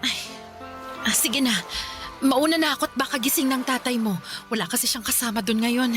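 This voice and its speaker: A woman's voice: a little high, normal speed, music in the background, close to an everyday voice. An actress playing a caring, harried mother who fusses and reassures in a radio drama. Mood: reassuring, harried. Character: caring, harried mother of a poor fishing family.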